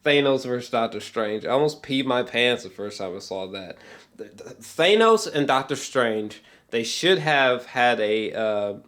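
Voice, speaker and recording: Slow-paced man gushing in a rambling review. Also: mid-range voice, animated, fairly bright tone. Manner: gushing, sweary, emphatic fan talk. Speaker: film reviewer. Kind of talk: casual rambling video review.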